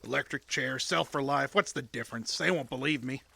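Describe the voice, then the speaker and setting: A man acting in a radio drama as a scared, defiant mountain man with a gravelly, strained voice. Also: mid-range voice, a little fast, sharp tone, no room sound. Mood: despairing, bitter.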